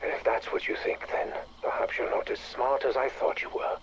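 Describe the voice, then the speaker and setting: An actor playing an evasive, cynical scientist, dry and condescending, in a game audio drama. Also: male voice, normal speed, a little hiss or hum, close to an everyday voice, poor audio. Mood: cold, scornful.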